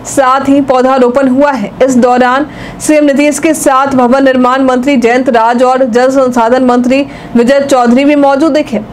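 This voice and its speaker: Young woman reading news, high and flat. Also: slight background noise. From India. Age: young adult. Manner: steady, even newsreading delivery. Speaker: news anchor. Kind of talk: read news bulletin. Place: news studio.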